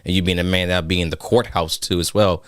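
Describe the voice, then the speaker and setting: A man's voice: low-pitched, steady tone, fast talker, no echo, studio quality. A man hosting a podcast, pressing his guest and reading viewer comments aloud. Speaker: podcast host. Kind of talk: podcast interview.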